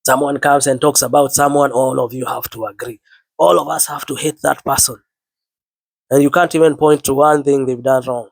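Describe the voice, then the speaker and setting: Sick guy preaching into the mic, earnest, low worn flu voice, dry sound, repeats himself a lot. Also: fairly even intonation, moderate pace, gravelly, dry recording. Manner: earnest, repeats words, biblical phrasing. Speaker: online preacher. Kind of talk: spoken sermon to camera.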